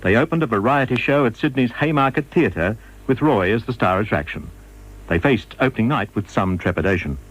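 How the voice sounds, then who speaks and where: A man's voice: mid-range voice, lively intonation, a little fast, low-quality recording. A man presenting a radio documentary in scripted narration.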